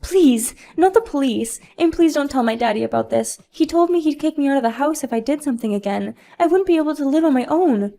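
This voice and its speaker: A woman voicing a spoiled, bratty grown woman who talks in a loud, whiny, indignant way. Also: high voice, expressive, fairly quick, vocal fry, few pauses, voice pushed up. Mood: pleading.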